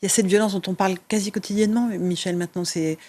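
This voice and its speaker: Brisk talk-show host. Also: male voice, high voice, steady tone, darkish tone. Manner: brisk host, frequent fillers. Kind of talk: hosting a TV and radio talk show.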